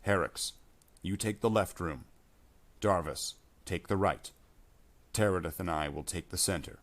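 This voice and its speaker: A man's voice: low-pitched, a little slow, fairly bright tone. A man reading the part of a stern space marine squad leader in a story, giving clipped, terse orders. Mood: terse, cautious.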